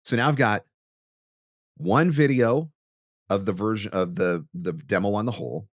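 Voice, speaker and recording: A man with a fairly low voice speaks as a host giving practical instruction. He leans deliberately on key words for emphasis. The sound is dry, with very little room in it. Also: no echo. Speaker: host, voice actor teaching marketing. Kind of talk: instructional monologue to camera.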